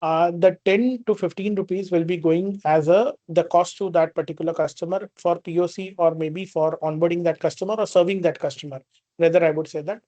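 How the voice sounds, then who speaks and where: Higher-pitched Indian man explaining business. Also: steady tone, brisk, warm tone, no echo. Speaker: company executive answering investor questions. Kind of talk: earnings call question and answer.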